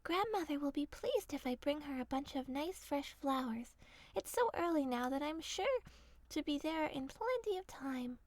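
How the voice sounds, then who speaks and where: A woman's voice: high-pitched, expressive, normal speed, no echo, pitched above the performer's own voice. A woman voicing an innocent, polite little girl in a fairy tale, sweet, earnest and naive. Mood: cheerful.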